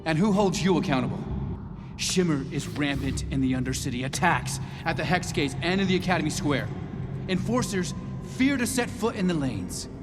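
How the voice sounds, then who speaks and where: An actor playing an earnest, idealistic young inventor turned city councilor in an animated drama, intense and resolute. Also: male voice, on the high side, moderate pace, natural voice. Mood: challenging. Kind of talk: dramatic animated series dialogue. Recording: dialogue over clear background music. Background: music.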